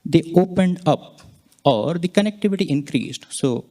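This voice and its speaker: A man presenting scientific results, explaining charts step by step and pausing mid-sentence to point things out. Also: on the high side, expressive, warm tone. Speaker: conference presenter, researcher.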